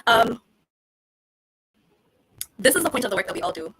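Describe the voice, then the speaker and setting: A woman's voice: fairly high pitch, big pitch swings, normal speed, sharp tone, breathy edge, poor audio. A woman from Kenya giving an emotional, tearful acceptance speech as an award-winning writer.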